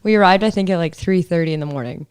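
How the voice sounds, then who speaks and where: A woman from Canada, a motorcycle racer, telling stories in casual chat, upbeat and slightly hoarse. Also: fairly low pitch, rapid-fire, a little mellow, no echo, studio quality. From Canada, southern Alberta. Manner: upbeat storyteller, laughs often. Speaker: guest, supermoto racer.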